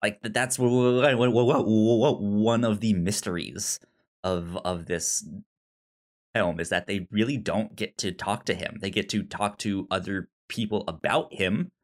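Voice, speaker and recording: A podcast co-host talking casually about film in a halting way, often repeating words and syllables. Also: low voice, fairly even intonation.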